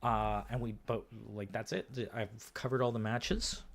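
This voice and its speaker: A slightly hoarse man commentating a card game match on his own, casual and thinking aloud, with frequent fillers and restarts. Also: medium pitch, fairly even intonation, moderate pace. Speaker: game commentator and podcast host.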